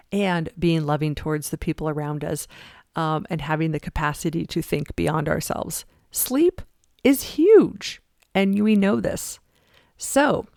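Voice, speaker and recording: A woman's voice: on the low side, darkish tone, dry recording, studio quality. A middle-aged woman hosting a fitness podcast, talking in a chatty, emphatic way and laughing often. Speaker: podcast host and fitness coach. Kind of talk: casual motivational talk.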